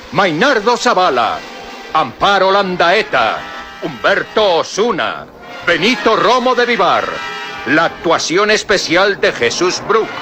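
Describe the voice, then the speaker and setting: A man narrating and announcing a vintage radio drama serial in a theatrical, booming style. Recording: old radio recording with music bed.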